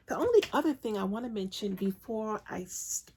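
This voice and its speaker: A woman hosting a crafting podcast, chatting casually with lots of enthusiasm and frequent ums, her voice raspy and congested from a recent illness. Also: medium pitch, expressive, a little fast, darkish tone, a little hiss or hum. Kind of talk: casual solo podcast chat.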